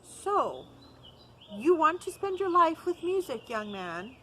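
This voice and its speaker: A woman reading the part of a stern but kindly old male music master in a storybook, in a firm, measured way. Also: sits high, sing-song, bright, forceful tone, slight background noise, voice pushed up, heavily acted.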